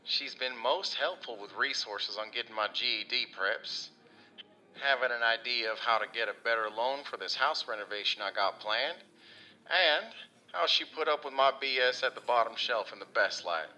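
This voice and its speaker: An actor playing an earnest, rough-edged working man, folksy, halting and sincere, in an audio drama monologue. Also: mid-range voice, bright, forceful tone, poor audio. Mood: earnest, tender. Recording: music behind the voice.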